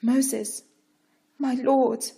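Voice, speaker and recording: A woman's voice: high voice, level tone, very slow, gravelly, mellow, voice pushed up, heavily acted. A woman reading a story voices a bold, defiant Egyptian noblewoman who begs a king with urgent passion. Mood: anxious plea. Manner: urgent, impassioned pleading.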